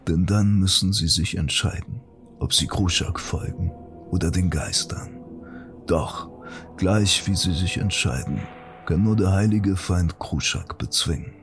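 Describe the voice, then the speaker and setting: A man voicing an orc shaman who gravely recites a dark, ancient prophecy in a measured, portentous audiobook style. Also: sits low. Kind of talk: solemn scripted fantasy audiobook recitation.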